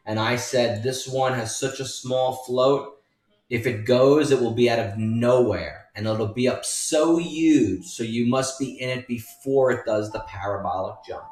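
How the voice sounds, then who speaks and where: Slow-talking man in an echoey room. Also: medium pitch, a little mellow. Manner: emphatic, repetitive, addressing viewers as folks. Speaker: stock trading educator and newsletter writer. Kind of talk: informal stock chart walkthrough. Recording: roomy echo, distant mic, faint music.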